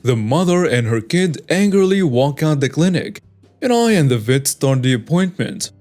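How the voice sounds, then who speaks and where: Male story narrator, fairly high voice, steady scripted read over faint music. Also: normal speed. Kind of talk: scripted narration of online stories. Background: faint background music.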